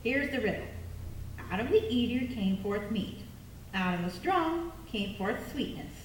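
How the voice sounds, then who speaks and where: Woman playing echoey, animated puppet strongman. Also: on the high side, a little slow. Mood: cocky challenge. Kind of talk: puppet show acting. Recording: very echoey, distant mic, faint noise.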